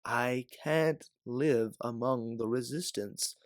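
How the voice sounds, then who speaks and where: A man voicing a soft, pompous politician with a haughty, blustering manner. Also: mid-range voice, takes it slowly, no room sound, clean studio sound, natural voice.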